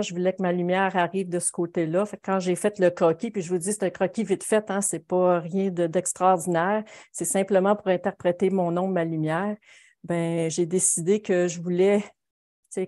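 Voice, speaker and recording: A woman's voice: on the low side, darkish tone. A middle-aged woman with a Quebec French accent, chatting informally as she demonstrates watercolour painting over a video call from her studio. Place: home studio, indoors.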